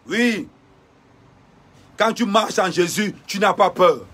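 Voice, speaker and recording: A man giving an impassioned denunciation in a repetitive, preacher-like cadence with shouted bursts. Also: sits high, animated, slow, husky.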